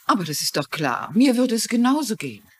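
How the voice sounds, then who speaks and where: An actress playing a kindly, shrewd middle-aged crime writer in a German-dubbed mystery drama, speaking politely and inquisitively. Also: female voice, on the high side, sing-song, brisk, breathy edge. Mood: reassuring.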